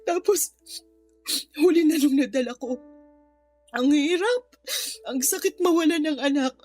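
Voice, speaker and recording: A destitute, guilt-ridden mother in a radio drama. Her high voice is tearful and pleading, crying as she confesses her shame, with music playing underneath. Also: measured pace, darkish tone. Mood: grieving, guilty. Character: remorseful impoverished mother, a runaway aunt, ashamed and grieving.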